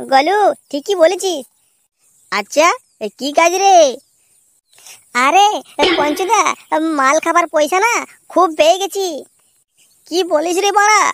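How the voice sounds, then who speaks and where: A performer voicing an excitable, crude petty crook in a slapstick cartoon, exclaiming and repeating words. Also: sits high, dry recording, studio quality. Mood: excited banter. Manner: exclamatory, repetitive, swearing, bantering. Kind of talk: comic cartoon dubbing in colloquial dialect.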